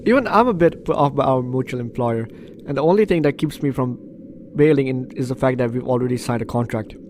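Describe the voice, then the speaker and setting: A man's voice: mid-range voice, a little fast, darkish tone, a little hiss or hum. A man hosting a let's play, reading a visual novel aloud and breaking off into chatty, reactive asides. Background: faint game music.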